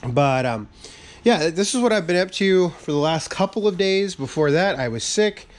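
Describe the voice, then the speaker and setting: A man hosting a casual update video, talking in a relaxed, rambling way with many fillers and restarts, his voice a bit raspy from a cold, in a workshop full of parts. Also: high voice, moderate pace. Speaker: channel host.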